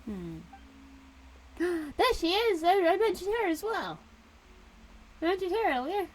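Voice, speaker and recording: A woman's voice: very high-pitched, big pitch swings, measured pace, low-quality recording. A woman streaming a game, making chatty, joking asides over the scenes. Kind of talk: casual reactions over gameplay.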